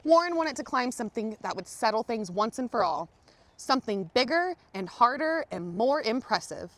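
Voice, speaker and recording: A woman reading a children's picture book aloud, telling the story expressively with dramatic emphasis and pauses. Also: fairly high pitch, no room sound. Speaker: storybook reader, museum manager.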